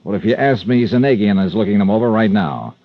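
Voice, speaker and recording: A man's voice: lowish voice, animated, quick pace, no room sound. A man acting in a 1950s radio drama, playing a hard-boiled, wary insurance investigator with clipped, emphatic, tough talk. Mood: grave warning. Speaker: star of a radio detective serial. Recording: dull vintage radio recording.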